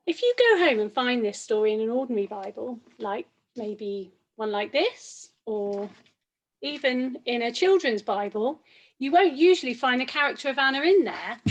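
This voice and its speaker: A woman's voice: fairly high pitch, poor audio. A woman presenting a Bible story session, chatting directly to young children.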